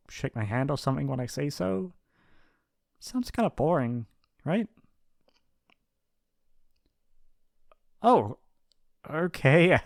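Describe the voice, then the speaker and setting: Male actor as young mafia boss's son, shy, lovestruck, hesitant rambling, soft-hearted, mid-pitched voice, dry intimate ASMR roleplay. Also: dry recording. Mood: tentative, teasing.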